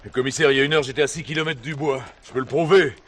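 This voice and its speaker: An actor playing a brash, indignant amateur cowboy in a French radio play. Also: male voice, mid-range voice, steady tone, rapid-fire, slight background noise, close to an everyday voice. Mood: defensive. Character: brash, indignant weekend cowboy who loves play-acting.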